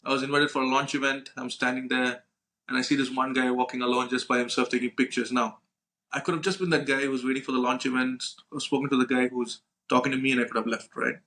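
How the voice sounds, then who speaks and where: A man's voice: mid-range voice, level tone, fast, pushed and bright, low-quality recording. A young man telling casual anecdotes as a podcast co-host, slangy and sweary, acting out conversations and often checking in with "right?". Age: young adult. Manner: informal, slangy, acts out dialogue, frequent "right?" tags. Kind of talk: casual anecdotal storytelling with profanity.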